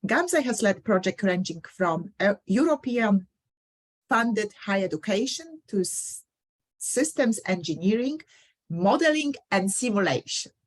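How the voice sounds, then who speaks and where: A woman hosting an online talk, introducing the guest over a video call in a halting way with restarts. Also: medium pitch, lively intonation. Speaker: host, moderator.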